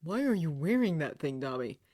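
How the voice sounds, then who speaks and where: A woman's voice: sits low, very animated, fairly quick, a little mellow. A woman voicing a young schoolboy hero, blunt, snappish and sarcastic, in close to her own voice. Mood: sharp.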